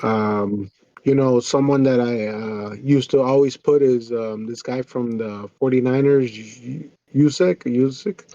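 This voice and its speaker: A man's voice: mid-range voice, measured pace, mellow, dry recording, dull audio. A man co-hosting a podcast, talking casually and hesitantly about sports picks with many fillers. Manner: hesitant, many ums and uhs. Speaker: podcast co-host.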